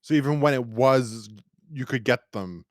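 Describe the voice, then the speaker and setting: A man's voice: mid-range voice, normal speed, no room sound, studio quality. An American man hosting a podcast, chatting casually and wordily, with stammering restarts. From United States. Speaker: podcast host. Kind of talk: casual review podcast chat.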